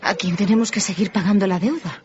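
An actress playing an anxious wife burdened by debt in a radio drama, emotional and exclaiming. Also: female voice, mid-range voice, brisk, darkish tone, lightly acted. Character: anxious indebted wife, relieved then guilty. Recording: muffled, with clear ambient noise.

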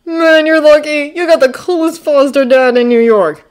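Storybook best-friend boy, played by a woman: pitched up, slangy, excitable, full of awe. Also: natural voice. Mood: admiring, curious. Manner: breathy awe, slangy, drawn-out exclamations.

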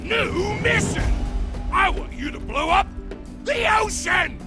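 An actor playing a huge, bombastic muscleman who bellows with gravelly, over-excited glee. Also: very high voice, takes it slowly, heavily acted. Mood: manic excitement. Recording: voice over loud game music.

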